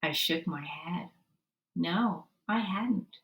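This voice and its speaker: A woman reading a children's story aloud, narrating expressively and deliberately and acting out the dialogue. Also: fairly low pitch, dull audio. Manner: animated, deliberate, acts out dialogue. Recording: roomy, distant microphone.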